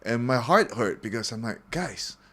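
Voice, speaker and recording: A man's voice: medium pitch, lively intonation, normal speed, a bit bright. A man from Singapore hosting a casual podcast chat, joking and cursing freely with plenty of fillers. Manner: loose, joking, profane, lots of fillers. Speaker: podcast host.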